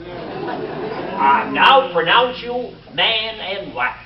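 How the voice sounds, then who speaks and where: A man's voice: high-pitched, big pitch swings, extremely slow, crowd noise, heavily acted. A man playing a fussy, flustered justice of the peace in an old-time radio comedy. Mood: officious, flustered. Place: live stage show before an audience. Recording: echoey, muffled, distant live stage with audience.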